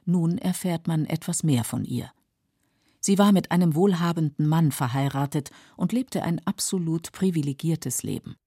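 A woman narrating a scripted literary documentary in a measured, explanatory way, with a husky, creaky voice. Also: low-pitched, mellow, no echo, studio quality. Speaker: narrator of literary radio feature.

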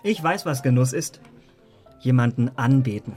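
Radio play: male actor as impressionable young romantic gentleman, effusive and earnest, medium pitch, over music. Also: normal speed, lightly acted. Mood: rapturous. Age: young adult. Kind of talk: German radio play acting. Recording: clear music behind the voice.

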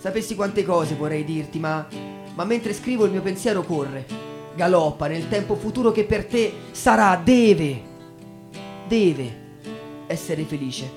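A man giving a theatrical dramatic reading as a stage narrator, with solemn, measured phrasing. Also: sits high, lively intonation, a little mellow. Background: acoustic guitar music.

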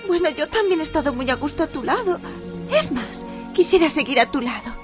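An actress with an accent from Spain playing an excitable, dramatic young aspiring pop singer in a comic radio drama. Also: female voice, high voice, a little fast. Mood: tender. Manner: exclamatory, emotional, swings from whining to elated. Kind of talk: comic radio fiction acting. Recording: loud music and crowd behind.

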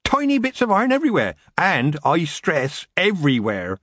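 A man's voice: on the high side, wildly swooping pitch, normal speed, husky, no echo, clean studio sound, pitched above the performer's own voice, full character voice. A man voicing a shrewd old witch woman in a storybook, approving and emphatic.

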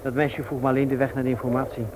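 A man's voice: medium pitch, flat delivery, very fast, lightly acted. An actor playing a shy, polite widower in his thirties, hesitant and formal, in a Dutch radio play. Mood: polite, awkward. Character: shy, awkward, polite widower on a blind date. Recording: noisy old radio recording.

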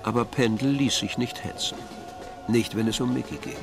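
An actor as the detached narrator of a German spy radio play, delivering terse, measured scripted storytelling. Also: male voice, mid-range voice, steady tone, gravelly, over music, natural voice. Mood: measured, wry.

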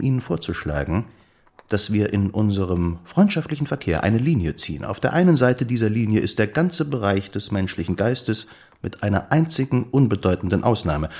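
An actor in a German radio drama playing a wordy gentleman with a pompous, florid, ceremonious manner. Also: fairly low pitch, slightly rough. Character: pompous, formal, wordy gentleman in confidential employment.

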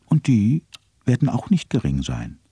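A man's voice: mid-range voice, wildly swooping pitch, unhurried, dark tone, husky, full character voice. An actor voicing an ironic male narrator in a radio play, with dry asides.

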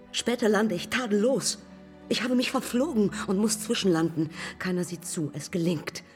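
A self-possessed young woman pilot acted by a woman in a radio drama. Her voice is slightly low and terse, breaking memories into short, independent-minded fragments. It rings with long reverb, with faint music behind. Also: a little mellow, lightly acted. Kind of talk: German public radio drama, lyrical dialogue.

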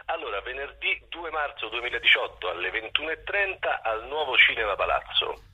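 A man's voice: medium pitch, very animated, fairly quick, bright, forceful tone, breathy edge. A man speaking casually as an interview guest, heard over what sounds like a phone line. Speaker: theatre performer, interview guest.

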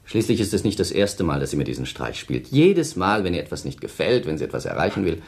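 A man's voice: deep voice, very animated, fast, gravelly, slightly rough, exaggerated voice. An actor playing a callous, self-pitying man of thirty in a German radio play, haughty, theatrical and dismissive. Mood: scornful, exasperated. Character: cold, self-pitying thirty-year-old man, callous scornful former lover.

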